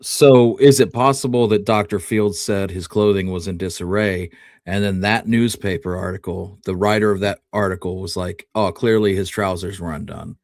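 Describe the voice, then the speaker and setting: A man's voice: low-pitched, fairly even intonation, normal speed, darkish tone, dry recording, clean studio sound. A man co-hosting a conversational history discussion, thinking aloud as he builds theories step by step. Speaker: podcast co-host.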